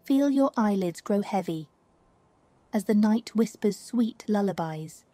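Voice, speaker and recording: A woman reading a scripted guided sleep meditation in a slow, soothing, hushed manner. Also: medium pitch, expressive, no echo. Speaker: meditation narrator. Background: music.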